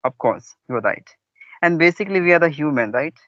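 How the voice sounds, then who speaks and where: A man's voice: on the high side, lively intonation, brisk, a bit bright, no room sound, highs cut off. A man, speaking politely and formally in a conversation practice chat and addressing his partner as ma'am.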